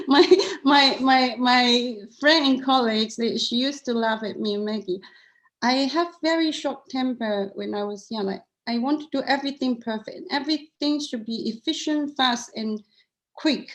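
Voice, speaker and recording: A middle-aged woman with a Cantonese accent answers interview questions in an earnest, candid way. She often repeats and restarts her words, and her voice sits a little high for a woman. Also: unhurried. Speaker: guest. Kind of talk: interview conversation.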